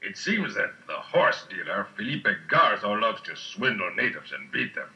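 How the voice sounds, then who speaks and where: A man's voice: on the low side, sing-song, normal speed, sharp tone, heavily acted. An actor playing a gossipy, earnest townsman of old California in a dramatised storybook. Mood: confiding. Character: townsmen of old California: innkeeper, retired pirate. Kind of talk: dramatised storybook recording acting. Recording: dull, band-limited storybook recording.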